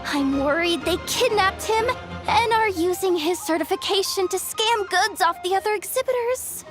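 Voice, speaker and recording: A woman playing an excitable, easily panicked young guild worker in a video game, with an expressive, dramatic delivery. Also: extremely high pitch, normal speed, music in the background. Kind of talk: animated video game voice acting.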